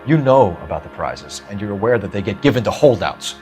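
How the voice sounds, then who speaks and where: A stern young detective in a 1940s crime game. His low voice moves animatedly in pitch as he presses his points formally, with music faintly behind. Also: big pitch swings, a little fast, a little mellow. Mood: accusing. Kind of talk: dramatic video game acting.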